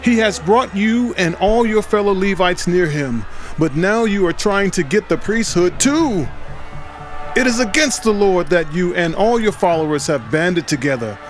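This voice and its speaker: A man's voice: high voice, animated, normal speed, voice pushed up. Solemn old prophet played by a man, earnest warnings, music underneath. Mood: pleading.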